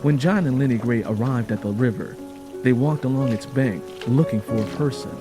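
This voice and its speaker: A man's voice: mid-range voice, moderate pace. Solemn male storyteller over music. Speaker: narrator. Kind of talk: dramatic scripted story narration.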